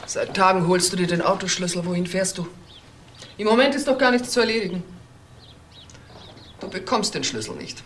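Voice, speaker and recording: An actress playing a suspicious, wounded wife who runs a restaurant, demanding and questioning in a crime radio drama. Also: female voice, fairly low pitch, moderate pace, long pauses, lightly acted. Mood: accusing. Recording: roomy sound with faint noise.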